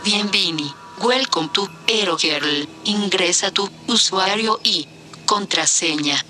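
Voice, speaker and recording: A woman voicing a polite automated computer assistant, giving measured, impersonal prompts in an audio drama. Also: mid-range voice, pushed and bright, faint music behind. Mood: polite, neutral.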